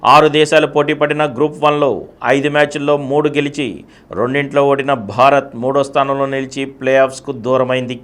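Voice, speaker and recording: A man's voice: mid-range voice, completely flat delivery, quick pace, fairly bright tone. A man reading scripted news as a bulletin presenter. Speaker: news reader.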